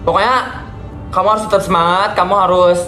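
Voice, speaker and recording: A chatty young man with a high voice hosts a casual video call and keeps talking to his viewers. He sounds like he is in an echoey room, with faint music playing underneath. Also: steady tone, fairly quick, sharp tone. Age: young adult. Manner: chatty, addresses viewers as guys, encouraging. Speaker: vlogger host.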